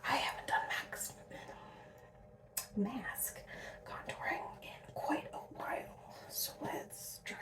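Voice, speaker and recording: A sleep-deprived young woman from Alberta, rambling in a soft whisper with asides and little laughs as she does her drag makeup. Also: slow, pauses often. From Alberta, Canada. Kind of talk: casual whispered makeup tutorial. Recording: roomy, mic set at a distance.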